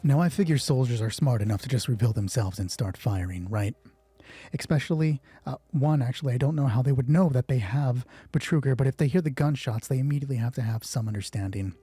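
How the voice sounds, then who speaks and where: Man, solo game master, casual thinking aloud, mellow tone, faint music behind. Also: medium pitch, fast, husky. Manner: casual, conversational, reasoning through rules aloud. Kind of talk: narrating a solo tabletop roleplay, thinking aloud.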